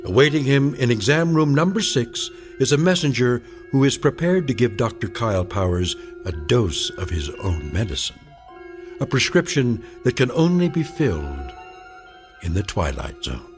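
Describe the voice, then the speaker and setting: Radio drama narrator: male actor, ominous and theatrical, medium pitch with big swoops, music underneath. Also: sing-song, normal speed, close to an everyday voice. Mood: wry, ominous. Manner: theatrical, portentous. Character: wry, ominous male narrator of uncanny tales.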